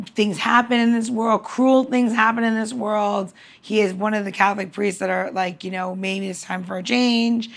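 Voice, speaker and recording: A woman's voice: mid-range voice, fairly bright tone. Female co-host, mid-range voice, casual and candid, rambling conversational delivery with offhand swearing. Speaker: podcast co-host.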